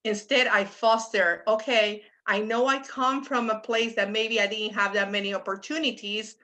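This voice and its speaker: Bright-voiced Puerto Rican woman. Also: mid-range voice, fairly even intonation, normal speed. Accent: Puerto Rican Spanish. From Puerto Rico. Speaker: economics professor. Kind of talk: panel discussion.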